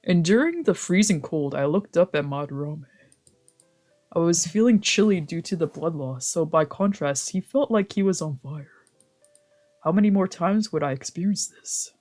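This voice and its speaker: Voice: medium pitch, lively intonation, a little slow, long pauses, a little mellow, soft background music. Streamer informal y malhablado, de tono medio. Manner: slangy, swearing. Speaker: streamer playing a visual novel. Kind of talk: casual livestream chat and reading game text aloud.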